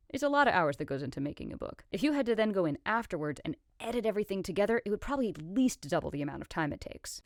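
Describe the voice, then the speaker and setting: A woman's voice: on the low side, no echo, studio quality. A woman voice actor casually explaining and answering questions, emphatic and thinking aloud as she goes.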